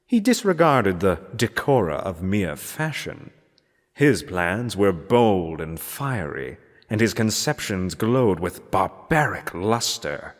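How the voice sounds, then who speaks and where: A man reading gothic horror fiction aloud as a dramatic narrator, in a theatrical, measured manner with deliberate pauses. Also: sits low, big pitch swings. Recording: long cavernous reverb.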